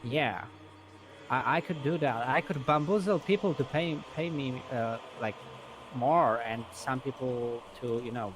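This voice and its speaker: A man's voice: mid-range voice, a little hiss or hum, poor audio. A man chatting casually and improvising in an online roleplay game, rambling and hesitant, with frequent swearing.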